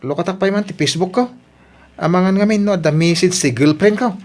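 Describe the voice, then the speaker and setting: Man playing a high-pitched, cocky young gambler. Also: fast talker, darkish tone, lightly acted. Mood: cheeky, breezy. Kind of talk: radio drama acting in Ilocano.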